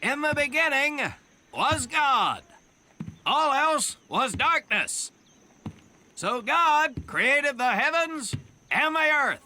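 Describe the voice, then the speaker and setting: Domineering drill instructor, very high forceful voice, slow mock-biblical delivery, sardonic, war game. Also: male voice, expressive, slight background noise, exaggerated voice. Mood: mock solemn. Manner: declamatory mock-biblical oratory, barking orders. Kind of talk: theatrical military speech in a war game.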